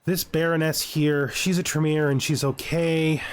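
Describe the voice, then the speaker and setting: A man's voice: a little high, fairly even intonation, measured pace, slight background noise. A man giving casual let's-play commentary, thinking aloud and wryly addressing the game's characters. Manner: wry, talks to game characters. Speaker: gaming video host.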